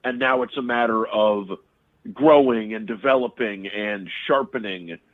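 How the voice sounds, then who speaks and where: A man's voice: fairly low pitch, a little slow. Man on a tinny phone line. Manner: rambling, many restarts and fillers. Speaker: sports radio analyst. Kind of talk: casual sports talk.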